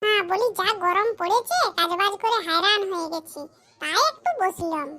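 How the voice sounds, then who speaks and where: A performer voicing a lazy, boastful, hard-drinking husband in a comedy cartoon, with a rural West Bengal accent and a pitch-shifted voice. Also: moderate pace, bright, forceful tone. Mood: wheedling. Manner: colloquial rural speech, boastful, cajoling. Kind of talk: comedy cartoon skit dialogue.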